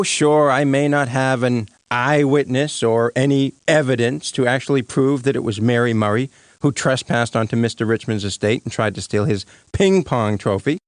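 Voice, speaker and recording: Man playing a cartoon prosecutor, brash, glib, very animated intonation, insistent, dry studio sound. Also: mid-range voice, no room sound, natural voice. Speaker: prosecuting lawyer. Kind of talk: animated comedy courtroom dialogue.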